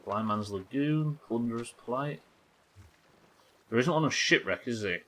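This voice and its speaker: Bright-voiced man puzzling aloud. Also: mid-range voice, animated, measured pace. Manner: musing, questioning himself, sweary. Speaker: live game streamer. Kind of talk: casual gaming livestream commentary, thinking aloud.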